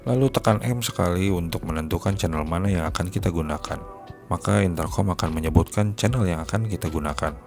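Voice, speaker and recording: Guy with a really low voice reading out a product review script, music behind him. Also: a little fast, gravelly, darkish tone. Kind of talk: scripted narration of a gadget review.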